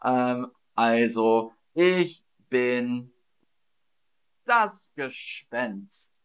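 A man teaching German learners with a riddle quiz, speaking slowly and deliberately, repeating each phrase and laughing at his own slips. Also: medium pitch, animated, clear, resonant tone. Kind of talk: slow, repetitive quiz lesson for learners.

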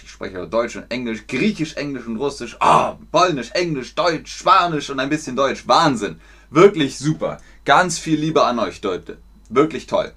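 A man teaching German in a live online lesson, encouraging and clearly articulated. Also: medium pitch.

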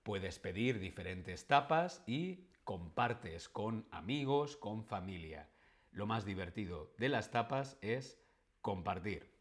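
Slow, patient Spanish teacher man. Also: on the low side, expressive. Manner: slow, clear, repeats words for learners; reads viewer chat questions aloud. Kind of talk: live-streamed language lesson.

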